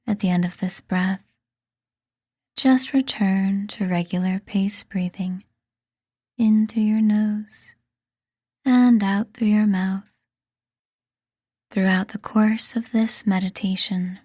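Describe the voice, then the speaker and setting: Slow, breathy woman guiding meditation. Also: mid-range voice, fairly even intonation, mellow, no room sound, studio quality. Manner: soothing, deliberate, breathy delivery with pauses. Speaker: meditation guide and podcast host. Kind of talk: scripted guided meditation.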